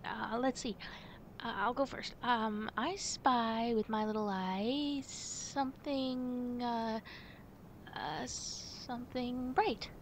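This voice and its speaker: A woman's voice: a little high, fairly even intonation, takes it slowly, a little hiss or hum. A woman playing a nervous, twitchy wolf girlfriend in an ASMR roleplay, stammering and hesitant as she hides something. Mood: nervous, evasive.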